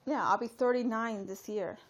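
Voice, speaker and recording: A woman's voice: fairly high pitch, moderate pace. A woman in her late thirties chatting casually on a live stream, rambling and talking straight to her viewers with lots of fillers. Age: 30s. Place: at home.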